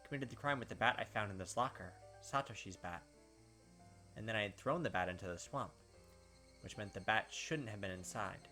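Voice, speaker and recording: A man's voice: on the low side, expressive, fairly quick, bright, forceful tone. A man hosting a let's play, reading visual novel narration aloud and adding casual commentary. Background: game music.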